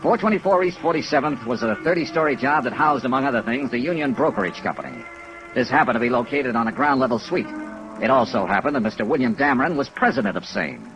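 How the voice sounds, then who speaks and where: An actor playing a brisk, skeptical insurance investigator in a 1950s radio drama, clipped and questioning as he narrates and interrogates. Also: mid-range voice, over music, rough recording. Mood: dry, matter-of-fact. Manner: clipped, hard-boiled, questioning. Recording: vintage radio recording under rain noise. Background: steady rain sounds.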